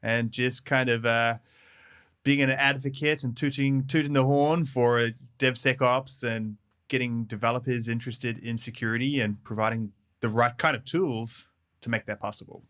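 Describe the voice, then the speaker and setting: Bright-voiced New man. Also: medium pitch, steady tone, normal speed. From New Zealand. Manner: friendly, laughs easily, many fillers and restarts. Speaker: developer advocate guest. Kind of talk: casual podcast interview.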